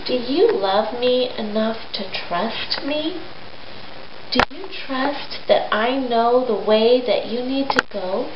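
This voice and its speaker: Woman voicing a gentle shepherd, noisy recording. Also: a little high, animated, takes it slowly. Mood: gently instructing. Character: gentle, patient male shepherd guide. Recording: noisy, echoey, dull amateur recording.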